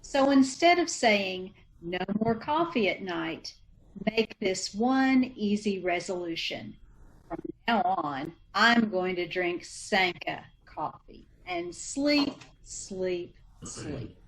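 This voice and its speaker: A woman announcer reading commercials and story narration in a measured, salesmanlike old-time radio style. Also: medium pitch, big pitch swings, slight background noise, rough recording.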